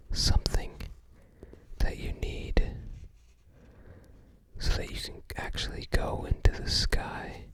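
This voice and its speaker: A person whispering softly and breathily in an ASMR style, musing unhurriedly in unscripted commentary. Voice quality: soft breathy whisper, unvoiced. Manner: unhurried, musing, tentative recall. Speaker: ASMR video host. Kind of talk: ASMR, unscripted whispered commentary while browsing a game guide book.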